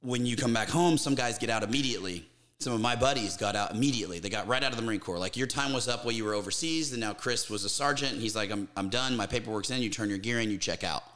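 A man's voice: lowish voice, quick pace, fairly bright tone. A man in his thirties, a podcast guest speaking in casual, candid conversation, swearing freely and restarting his sentences. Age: 30s. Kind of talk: casual interview chat.

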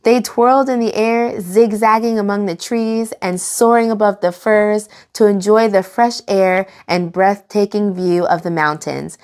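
Young woman, children's storytime host, animated read-aloud, expressive, clearly enunciated. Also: mid-range voice.

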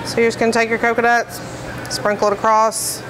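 A woman's voice: on the high side, level tone, a little fast, background noise. A woman bakery trainer explaining decorating steps in plain, practical terms, with frequent fillers. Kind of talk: instructional demonstration. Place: commercial kitchen, indoors.

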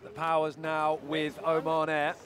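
A man giving live play-by-play commentary on a sailing race, brisk and analytical. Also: on the high side, monotone, fairly bright tone.

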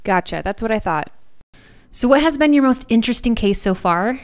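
Smooth-voiced woman podcast host. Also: a little high, animated, no echo, studio quality. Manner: direct, brisk questioning. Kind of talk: interview questions.

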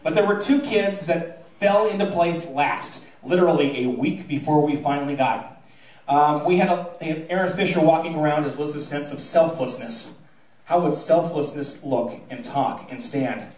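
Echoey, high-pitched American man on stage. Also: moderate pace, a little mellow. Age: middle-aged. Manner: folksy, wry, teasing delivery. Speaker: high school theatre director announcing awards. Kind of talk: informal award-ceremony speech to an audience. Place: school theatre stage, indoors. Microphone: handheld mic on PA, captured by a distant camera. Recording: echoey auditorium recorded from far off, audience noise. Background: audience murmur and laughter.

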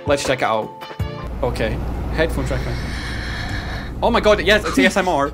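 A man's voice: fairly high pitch, sing-song, moderate pace. A man in his thirties reacting casually to a game trailer, flabbergasted and repeating his exclamations, on a close streaming mic. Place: small home streaming room. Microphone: close desk streaming microphone with headset. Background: game trailer music.

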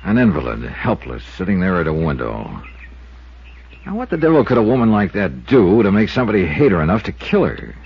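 Skeptical American insurance sleuth: wry, probing, very varied intonation, 1950s radio acting on a muffled old recording. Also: male voice, low-pitched, sing-song, brisk, vocal fry, slight background noise, exaggerated voice. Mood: brooding, speculative. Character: shrewd, probing, skeptical male insurance investigator.

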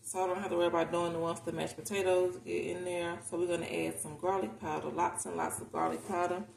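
A woman's voice: fairly low pitch, little pitch movement, quick pace, creaky. An American woman chatting casually and candidly to viewers as she cooks at home, in an informal way. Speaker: home cooking vlogger. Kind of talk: casual chat while cooking. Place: home kitchen, indoors. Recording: roomy kitchen, noticeable background noise.

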